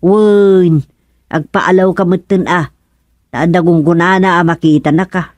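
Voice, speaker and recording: A woman acting a fussing, exasperated village wife in an Ilocano radio comedy drama, with an expressive, exclamatory delivery. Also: lowish voice, measured pace, no echo, close to an everyday voice. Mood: urgent, bossy.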